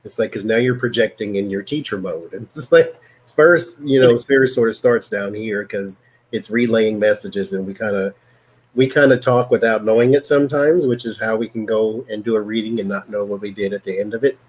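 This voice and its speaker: Husky-voiced live stream host. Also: male voice, fairly low pitch, a little fast, poor audio. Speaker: host and psychic reader. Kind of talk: casual live stream chat.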